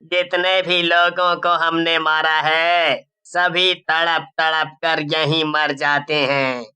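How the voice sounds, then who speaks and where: Gloating horror-story bandit, played by a man: very drawn-out delivery, smooth clear tone. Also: fairly high pitch, level tone, pushed and bright, full character voice. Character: gloating villainous bandit, self-styled forest king.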